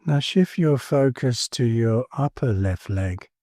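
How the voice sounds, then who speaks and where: A man leading a guided meditation in a calm, soothing manner, leaving space between short phrases. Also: medium pitch, slow, dry recording, clean studio sound. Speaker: meditation teacher. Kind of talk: guided body scan meditation.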